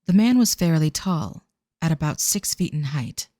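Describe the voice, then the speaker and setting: A narrator reading a scripted audio drama in a measured, descriptive storytelling manner. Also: medium pitch, animated, dark tone, dry recording, studio quality.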